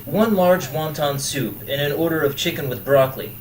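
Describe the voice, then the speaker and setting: A man's voice: fairly high pitch, normal speed, close to an everyday voice, low-quality recording. An actor playing a fussy, rigid, critical boyfriend in his late twenties in an audio drama.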